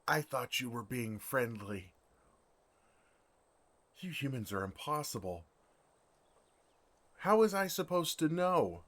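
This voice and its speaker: A man playing a bashful, lovestruck male dragon in human form for an ASMR fantasy roleplay, speaking in a halting, earnest way. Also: medium pitch, sing-song, a bit bright, full character voice. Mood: exasperated, sheepish. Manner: flustered, halting, earnest delivery.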